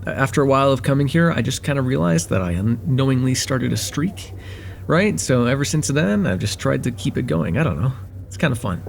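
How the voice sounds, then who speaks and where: A man's voice: mid-range voice, expressive, brisk, vocal fry, slight background noise. A man playing a friendly, chatty young guy at a cafe in a casual, slightly hesitant conversational ASMR roleplay.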